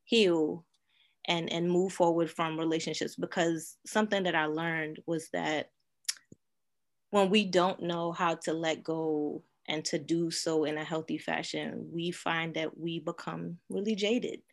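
A woman's voice: deep voice, fairly even intonation, a little slow, vocal fry. Chatty slangy woman relationship coach. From Trinidad. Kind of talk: casual interview chat.

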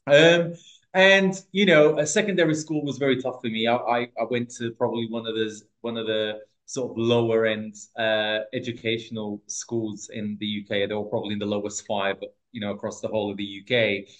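A man's voice: on the low side, little pitch movement, a little fast, fairly bright tone, poor audio. A man from Portugal in his thirties, a podcast guest telling his life story in a casual, hesitant way with many restarts and fillers.

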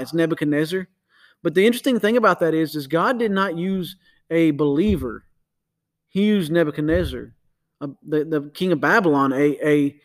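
Rambling man in his thirties. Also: fairly high pitch. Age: 30s. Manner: rambling, self-correcting, many restarts. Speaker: podcast co-host.

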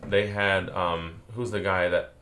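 Young man host, low and attentive. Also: little pitch movement, sharp tone. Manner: attentive, quick short back-channel replies. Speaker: podcast host. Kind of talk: casual interview chat.

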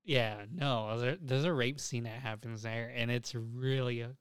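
A man's voice: mid-range voice, unhurried, smooth, dry recording, studio quality. A young man chatting casually as a podcast host, emphatic and opinionated, repeating himself and swearing freely.

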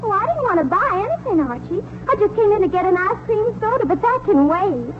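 A woman's voice: extremely high pitch, sing-song, fairly quick. An actress playing a breezy, coquettish teenage girl with a sugary manner in a 1940s radio comedy. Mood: sweet, breezy. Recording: muffled, noisy 1940s radio broadcast.

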